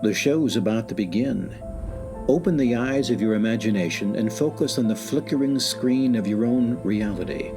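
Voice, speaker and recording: A man playing a solemn, eerie announcer narrating a suspense radio play in a measured, theatrical way, with music underneath. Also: medium pitch, lightly acted. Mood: ominous.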